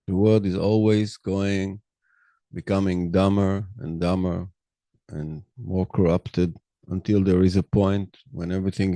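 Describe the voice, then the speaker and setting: A middle-aged Israeli man with a low, gravelly voice speaks English as a second language, slow and halting, full of ums and uhs. His tone is dark and mellow, and the sound is dry and close. He talks through his views in a loose personal monologue. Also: no echo. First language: Hebrew. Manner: slow, halting, many fillers. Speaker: podcast host.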